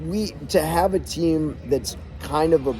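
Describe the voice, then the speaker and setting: A man's voice: on the high side, unhurried, low-quality recording. A man co-hosting a podcast, chatting energetically in a casual recap of sports results and leading the talk. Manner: energetic, talkative, leads the segments. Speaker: podcast co-host.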